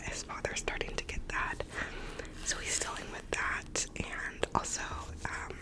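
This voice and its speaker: A young woman whispering an ASMR ramble, recovering from a cold, chatty and full of "like" and "um". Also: rough recording. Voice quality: soft unvoiced whisper. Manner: rambling, lots of "like" and "um" fillers. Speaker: ASMR creator.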